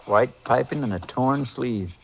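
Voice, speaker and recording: Folksy Texas sheriff, muffled radio. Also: male voice, medium pitch, a little slow, gravelly. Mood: pondering. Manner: folksy, drawn into puzzling aloud. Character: folksy, questioning small-town Texas sheriff, male sidekick. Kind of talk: 1950s radio drama acting. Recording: dull old radio recording, faint noise.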